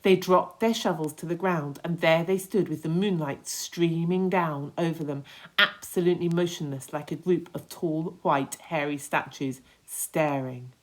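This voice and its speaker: A woman reading a children's story aloud with expressive, dramatic emphasis. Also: deep voice, poor audio.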